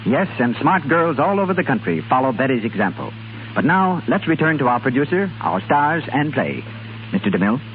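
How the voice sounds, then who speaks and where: A man's voice: mid-range voice, very animated, a little fast. A man announcing in scripted 1930s radio style, as the voice between acts and commercials. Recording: hissy, narrow-band 1930s radio recording.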